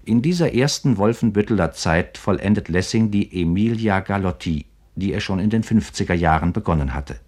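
A deep male voice delivers scripted literary commentary for radio. He narrates with emphatic, carefully literary phrasing. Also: expressive, normal speed, few pauses, darkish tone.

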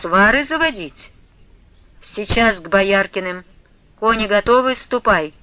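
A woman's voice: medium pitch, expressive, unhurried, smooth, dry recording, muffled recording, natural voice. A woman voicing a stern abbess in an audiobook, speaking in a level, commanding, dispassionate way. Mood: cold, commanding. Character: stern, imperious, cold-mannered abbess of a convent.

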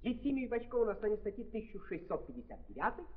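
Casting: brisk officious prosecutor, high animated voice, tinny vintage drama sound. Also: male voice. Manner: rapid-fire recitation of legal articles. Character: brisk public prosecutor demanding punishment by statute. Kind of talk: 1930s Russian radio drama acting. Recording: tinny 1930s archive recording.

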